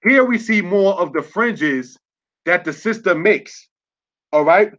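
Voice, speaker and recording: Man, religious teacher, slide lesson, spells words out, frequent "all right?", high voice, dull lo-fi sound. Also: animated, takes it slowly, fairly bright tone. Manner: spells words out letter by letter, repeated "all right?" check-ins. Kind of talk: slide-based online lesson. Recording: dull lo-fi audio.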